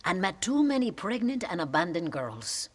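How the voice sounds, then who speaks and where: A grave Mexican Mother Superior for a game cutscene. Her voice is low and breathy, with lively swings of pitch. She speaks formally and piously. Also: moderate pace. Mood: grave, devout. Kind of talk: video game cutscene acting.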